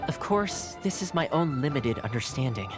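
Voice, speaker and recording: A man's voice: medium pitch, lively intonation, moderate pace, close to an everyday voice. Game character: courteous young male retainer, played by a man, formal and articulate, composed with a playful streak, music underneath. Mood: solemn, explanatory. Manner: polite, formal, articulate phrasing. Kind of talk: scripted game cutscene dialogue. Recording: music behind the dialogue.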